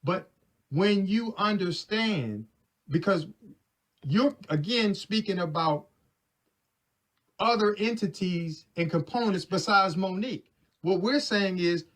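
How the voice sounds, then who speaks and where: A man's voice: high voice, lively intonation, takes it slowly, pauses often, fairly bright tone. A man arguing on a recorded phone call, pressing his questions insistently and repeating himself. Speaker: caller in a heated phone dispute. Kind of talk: argumentative phone conversation.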